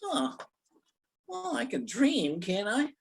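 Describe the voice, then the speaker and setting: A man's voice: very high voice, wildly swooping pitch, slow pace, vocal fry, breathy edge, full character voice. A performer playing a dim, lovestruck male gangster in an old-time radio play, stammering and bumbling in slangy talk. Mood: bumbling, eager.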